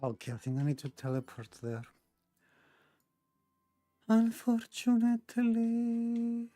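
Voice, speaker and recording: A woman's voice: a little high, sing-song, slow pace, dark tone, no echo, faint music behind. A woman doing casual gameplay commentary, thinking aloud as she plays. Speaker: game streamer.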